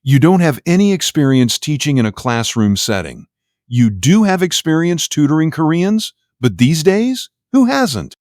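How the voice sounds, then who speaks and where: A man playing a pompous, prejudiced university interviewer in an audio play, formal, deliberate and condescending. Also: on the high side, sing-song, darkish tone, no room sound, clean studio sound. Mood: pompous, appraising. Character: pompous, prejudiced middle-aged male university job interviewer. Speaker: university official interviewing a job applicant.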